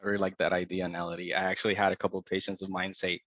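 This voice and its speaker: A man's voice: deep voice, level tone, very fast, a bit bright, dry recording, muffled recording. A man with a Puerto Rican accent, a psychology trainee speaking earnestly on a panel over a video call. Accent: Puerto Rican Spanish. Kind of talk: panel discussion.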